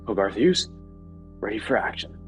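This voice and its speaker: Man playing an eager, animated young boy. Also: fairly low pitch, moderate pace, faint music behind, lightly acted. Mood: brave, determined. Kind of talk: amateur screenplay table read.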